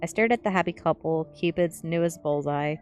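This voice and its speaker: A woman narrating a teen story aloud in an expressive audiobook style. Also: low voice, fairly quick, soft background music. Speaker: streamer reading a story aloud.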